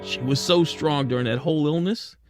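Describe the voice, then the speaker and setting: A man playing a wisecracking, soft-hearted penguin private detective in a radio drama, narrating and talking in old-time gumshoe slang. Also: fairly high pitch, fairly quick, over music, natural voice. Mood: fond, excited. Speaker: lead actor and narrator.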